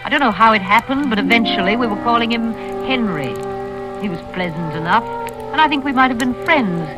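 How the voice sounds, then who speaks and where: A woman acting in a vintage radio drama as a genteel, composed wealthy spinster, speaking politely and in a measured way. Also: on the high side, animated, natural voice, low-quality recording. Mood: wry, confiding. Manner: polite, measured, smoothing things over. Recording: old radio recording with music behind.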